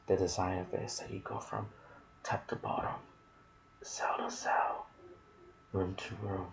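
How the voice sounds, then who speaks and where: A man hosts an ASMR gaming video in a low voice with heavy fry. He speaks softly and musingly, sometimes half whispering. A faint ambient noise sits behind him. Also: rough recording. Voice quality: soft, breathy, partly whispered. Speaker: gaming video creator and host. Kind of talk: ASMR gaming commentary mixed with casual chat.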